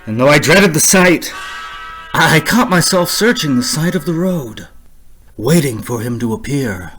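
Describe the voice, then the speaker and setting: A man's voice: on the high side, lively intonation, rough recording. Haunted motorist played by a man, music behind. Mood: haunted, dread. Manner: halting, repeats himself, urgent. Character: haunted male motorist narrating his uneasy lonely road journey. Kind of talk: 1940s-style suspense radio drama narration.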